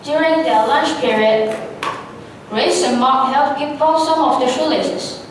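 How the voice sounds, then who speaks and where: A young woman reading scripted narration on stage, heard from a distance in an echoey hall. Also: a little slow. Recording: echoey hall, distant mic, faint rumble.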